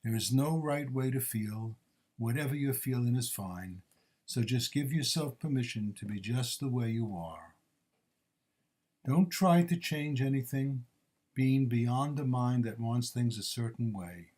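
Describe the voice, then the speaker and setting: A man leading a guided body scan meditation as a teacher, speaking in measured, gently instructing short phrases with pauses between them. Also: mid-range voice, lively intonation.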